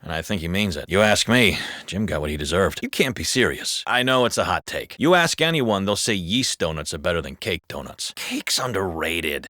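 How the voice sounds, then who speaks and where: An actor playing a jaded, foul-mouthed, sardonic police officer in a video game. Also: male voice, low-pitched, lively intonation, moderate pace, sharp tone, dry recording, clean studio sound. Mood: wry, gossipy. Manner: gossipy, sardonic, swearing. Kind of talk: scripted video game voice acting.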